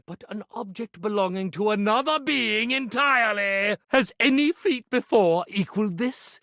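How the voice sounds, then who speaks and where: A man's voice: sits high, fairly bright tone, dry recording, exaggerated voice. A vain, flamboyant male stage magician for a video game. His delivery swoops extravagantly up and down with grandiose showmanship. The sound is close and dry, like a studio take. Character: vain, theatrical male stage magician, a living clothes iron. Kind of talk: theatrical video game character acting.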